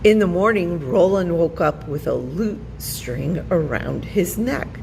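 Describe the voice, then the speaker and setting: Woman, children's storytime narrator, unhurried, slightly low pitch, creaky, faint background noise. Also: lively intonation, a little mellow, big-room sound, low-quality recording.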